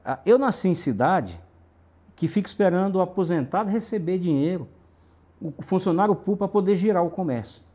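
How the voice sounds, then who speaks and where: A man's voice: high-pitched, expressive, brisk, warm tone. A middle-aged man from Brazil's Northeast, a city councillor answering interview questions emphatically with lists and repetition.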